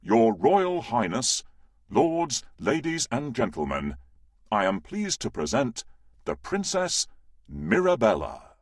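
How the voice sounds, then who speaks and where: A man's voice: medium pitch, sing-song, slow, no room sound, studio quality, full character voice. A man voicing a stately, pompous, ceremonious royal figure in a children's storybook. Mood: grand. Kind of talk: children's storybook character acting.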